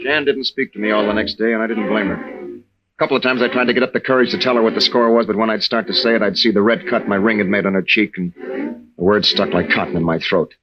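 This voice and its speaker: An actor playing a troubled young American navy veteran and husband, speaking earnestly and haltingly in an old radio drama. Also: male voice, fairly low pitch, fairly even intonation, over music, natural voice. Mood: subdued, regretful. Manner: halting, earnest, with stammered restarts. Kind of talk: 1940s-50s radio drama acting. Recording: dull old-time radio recording.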